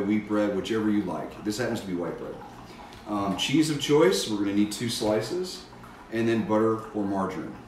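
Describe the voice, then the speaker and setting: Folksy middle-aged man, echoey kitchen. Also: fairly low pitch. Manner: folksy, instructive, addresses kids and parents directly. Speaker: fire safety educator. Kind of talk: cooking demonstration with safety tips. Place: home kitchen, indoors. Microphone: camera mic from across the room. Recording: echoey kitchen, mic far off, audible noise.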